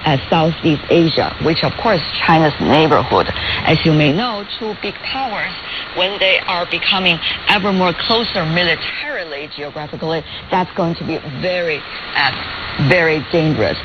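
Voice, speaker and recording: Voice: mid-range voice, lively intonation. Formal interviewer on muffled, noisy radio. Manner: formal, deliberate, long-winded questioning. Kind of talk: formal news interview questions. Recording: dull lo-fi radio relay with static noise.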